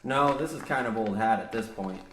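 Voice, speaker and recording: A man's voice: on the low side, normal speed, a bit bright, close to an everyday voice. An actor playing a businesslike young mine surveyor, a polite, matter-of-fact straight man in a comedic radio play. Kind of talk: parody of old radio drama acting. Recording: roomy echo, faint noise.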